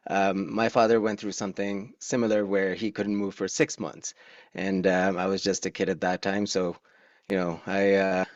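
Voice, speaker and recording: A middle-aged man from Pakistan telling his personal story candidly and informally, with halting pauses, on a video call. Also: low-pitched, flat delivery, no echo. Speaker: guest speaker. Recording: dull, dry video-call audio.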